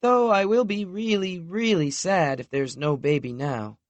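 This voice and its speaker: A man's voice: measured pace, no echo, lo-fi sound. A man reading an audiobook, voicing a vulnerable, emotional woman who worries about losing the people she loves. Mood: tearful. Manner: wobbly, raised pitch, soft.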